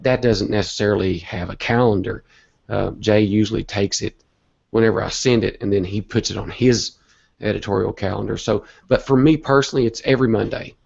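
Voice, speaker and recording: Hoarse guy w a cold, gravelly, rambly motivational guest talk, dull lo-fi call audio. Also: lowish voice, a little mellow. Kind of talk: casual online interview talk.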